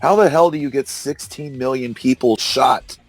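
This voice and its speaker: A hoarse man hosting a casual political discussion, arguing and incredulous. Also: mid-range voice, moderate pace, dry recording, soft background music. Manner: argumentative, incredulous, laughs often. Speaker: podcast host. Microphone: call recorder.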